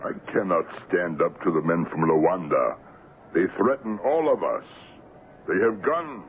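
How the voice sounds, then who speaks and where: An actor playing a solemn, secretive trading company worker in a radio drama, speaking in formal phrases. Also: male voice, low voice, animated, a little slow. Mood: grave resolve. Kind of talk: 1970s radio drama acting. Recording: muffled, noisy old radio broadcast.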